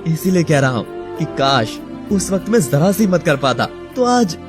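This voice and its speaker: Man playing remorseful young husband, anguished, imploring, dramatic audio story, slightly high pitch, clear background music. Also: very animated, moderate pace. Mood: regretful. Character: remorseful married young man pleading with his childhood love. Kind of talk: Hindi audio story acting.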